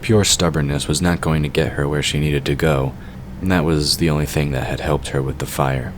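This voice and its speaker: A man's voice: very deep voice, steady tone, a little fast, noisy background. A man narrating a fantasy audio drama from a script.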